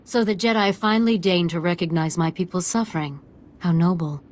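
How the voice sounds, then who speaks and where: An actress playing a proud, bitter and ailing village matriarch in a video game, stately and weary. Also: female voice, fairly low pitch, fairly even intonation, fairly quick, a little mellow, close to an everyday voice. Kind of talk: video game dialogue acting.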